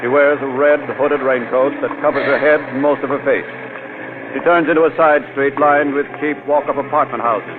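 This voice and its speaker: An actor playing a terse, dramatic narrator of a hard-boiled 1940s radio crime story. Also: male voice, mid-range voice, fairly even intonation, moderate pace, phone-quality sound, background noise, lightly acted. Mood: tense, ominous. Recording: band-limited vintage 1940s radio recording. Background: music.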